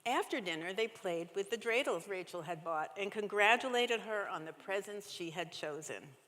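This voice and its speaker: Expressive woman storyteller in an echoing church. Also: medium pitch, normal speed. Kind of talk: expressive read-aloud children's story. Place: large church sanctuary, indoors. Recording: echoey church hall, long reverb.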